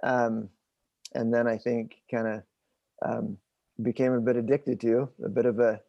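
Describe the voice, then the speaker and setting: A man in his forties in a conversational interview, explaining things in a halting, thinking-aloud way. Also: medium pitch, flat delivery, gravelly, dark tone, no echo, muffled recording. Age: 40s. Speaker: host interviewing his father.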